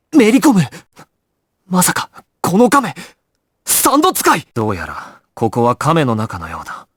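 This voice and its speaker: A young gangster squad leader from an anime game. He is grave and decisive, issuing clipped orders in a mid-pitched voice. The sound is very dry and close. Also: a bit bright, dry recording. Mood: alarmed, shouting, then grave, deliberate. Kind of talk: dramatic anime video game voice acting.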